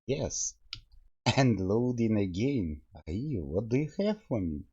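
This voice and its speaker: A man's voice: lowish voice, big pitch swings, slow pace, darkish tone, breathy edge, dull audio. A man giving casual, enthusiastic gameplay commentary as the host of a game review. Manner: enthusiastic, exclaiming.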